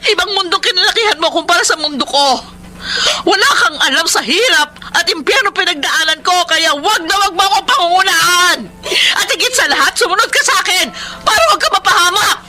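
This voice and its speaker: A woman's voice: very high voice, lively intonation, fairly quick, sharp tone, heavily acted. A woman playing a bitter, hot-tempered Filipino mother hardened by poverty in a radio drama, scolding and emotional. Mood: angry, tearful.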